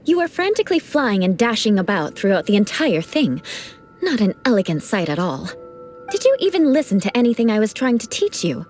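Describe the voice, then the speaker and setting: A woman's voice: medium pitch, big pitch swings, a little fast, darkish tone, soft background music, close to an everyday voice. A woman voicing a proud, formal young noblewoman knight who mentors with dry, teasing remarks in a video game. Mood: cool. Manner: formal, haughty, dryly teasing. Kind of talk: game cutscene voice acting.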